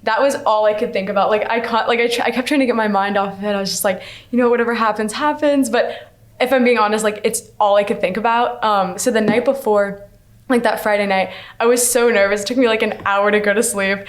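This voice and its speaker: Fast-talking young woman telling a story. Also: medium pitch, steady tone. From Maryland, US. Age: around 20. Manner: chatty, peppered with "like". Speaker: scholarship student. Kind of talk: casual personal storytelling.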